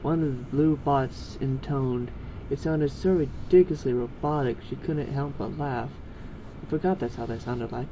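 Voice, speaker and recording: A man's voice: medium pitch, normal speed, noisy background. A man reading a story aloud in an amateur style, with casual asides. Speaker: co-reader of fan fiction.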